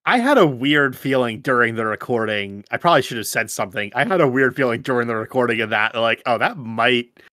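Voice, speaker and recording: A man's voice: medium pitch, animated, brisk, hardly any pauses, sharp tone, dry recording, clean studio sound. A man co-hosting a podcast in casual chat, talking somewhat hesitantly. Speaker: podcast co-host.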